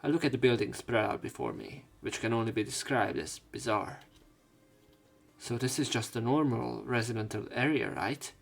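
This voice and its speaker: Gravelly-voiced guy reading a visual novel out loud, cracking jokes in between. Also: mid-range voice, lively intonation, normal speed, faint music behind. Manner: joking asides, casual reading with fillers. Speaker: let's play host. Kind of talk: reading game text aloud with commentary.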